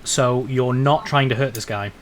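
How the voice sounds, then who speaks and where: A man's voice: mid-range voice, normal speed, a crowd in the background. A man narrating an improvised tabletop game as its game master.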